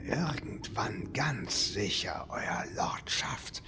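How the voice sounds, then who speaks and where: A man playing a servile fantasy minion in a parody audio drama, obsequious yet slyly deadpan. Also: medium pitch, very slow, vocal fry, whispered, heavily acted. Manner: obsequious, deadpan, muttered asides. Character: servile, slow-spoken minion with sly dry wit.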